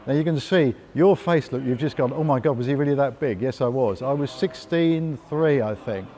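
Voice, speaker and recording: A man's voice: medium pitch, normal speed, mellow. A man in his sixties, a gym member chatting in an interview, jokey and talking straight to the interviewer. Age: 60s. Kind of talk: casual interview chat.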